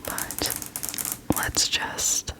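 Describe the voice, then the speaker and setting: A woman hosting a casual whispered ASMR book chat, speaking in a soft, breathy whisper with a hesitant, self-deprecating manner. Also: extremely slow. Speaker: book video host.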